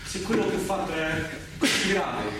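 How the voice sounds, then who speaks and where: A man's voice: high-pitched, sing-song, moderate pace. A man playing an anguished, disgraced army lieutenant, pleading and evasive, in a stage drama. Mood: pleading, desperate. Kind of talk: live stage-style Shakespeare drama acting in Italian. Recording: noisy, echoey hall, distant microphone.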